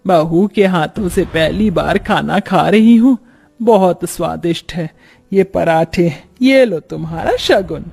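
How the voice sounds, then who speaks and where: A man's voice: high-pitched, slow pace, gravelly, a little mellow, husky, soft background music, voice pushed down, heavily acted. A man voicing a gracious, approving middle-aged aunt in a cartoon family story.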